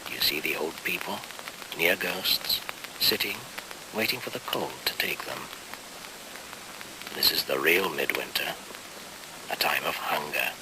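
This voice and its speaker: A man playing a grave, ominous narrator of winter myth in a radio play, with a hushed, portentous delivery. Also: rumbling low, background noise, exaggerated voice.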